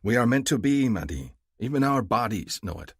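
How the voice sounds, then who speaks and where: A man playing a large, protective alien male who speaks in a low, measured, formal way. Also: big pitch swings, husky, no room sound, studio quality. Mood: soothing.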